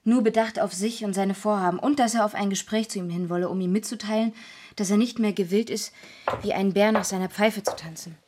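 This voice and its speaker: A woman's voice: medium pitch, fairly even intonation, a little fast, darkish tone, natural voice. Deferential, hesitant housemaid played by a woman. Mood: careful reporting. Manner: respectful, hesitant, plain-spoken. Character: deferential, discreet housemaid giving testimony. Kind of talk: German period radio drama acting.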